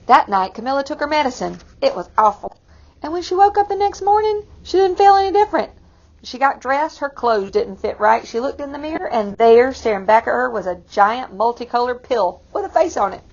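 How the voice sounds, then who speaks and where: A woman with a fairly high, gravelly-edged voice reads a picture book aloud to young children. Her delivery is expressive and she adds simple explanations as she goes. The recording is dull and lo-fi. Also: fairly quick. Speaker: read-aloud storyteller for children.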